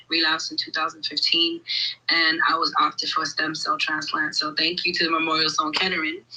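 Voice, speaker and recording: A woman from Brooklyn, calling in to share her personal testimony, eager and halting. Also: low voice, pushed and bright, poor audio. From Brooklyn, New York. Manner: eager, halting, many fillers. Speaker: guest caller.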